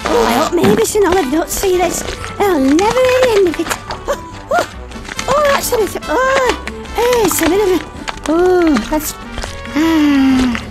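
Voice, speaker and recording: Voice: very high-pitched, sing-song, a little slow, exaggerated voice, rough recording. A performer voicing a prim, chatty talking cow in a video game, fussy and genteel, full of exclamations, with music underneath. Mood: flustered.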